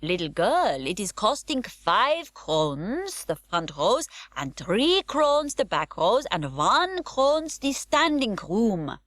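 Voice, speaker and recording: A woman reader voicing an elderly foreign woman selling circus tickets, speaking slowly and haltingly. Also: medium pitch, big pitch swings, fairly bright tone, no room sound. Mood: polite. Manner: slow, halting, with a foreign accent.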